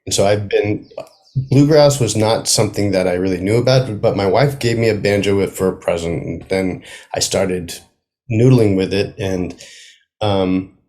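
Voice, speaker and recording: Male playwright guest, lower-pitched, conversational, searches for words as he goes. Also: a little mellow. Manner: halting, thinking aloud. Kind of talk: casual interview conversation.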